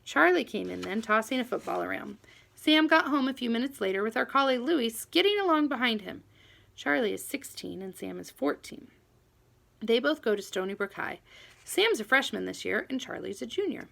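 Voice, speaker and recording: A woman reading a children's novel aloud in an expressive, animated first-person storytelling manner. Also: a little high, moderate pace, vocal fry. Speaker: audiobook reader.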